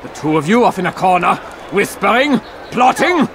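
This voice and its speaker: A man's voice: high voice, very animated, normal speed. A man playing a paranoid, tyrannical knight commander in a video game, agitated and accusing. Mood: suspicious. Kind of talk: dramatic game cutscene acting. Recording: loud crowd and outdoor noise behind.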